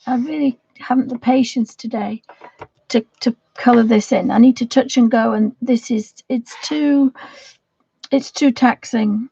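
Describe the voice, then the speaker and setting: Woman host, croaky and husky, mellow tone, soft rambling chat, dull lo-fi stream sound. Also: a little high, fairly even intonation, unhurried, no room sound. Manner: soft, rambling, often half-whispered. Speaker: livestream host. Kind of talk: casual chat while colouring.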